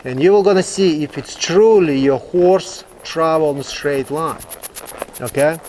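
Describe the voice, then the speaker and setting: A male horse trainer explains his method in halting, English. His voice sits slightly high, and he stresses points by repeating them. There is some background noise around him as he talks. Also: darkish tone, poor audio. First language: not English. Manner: emphatic, repetitive phrasing, halting non-native English. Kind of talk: instructional demonstration, explaining.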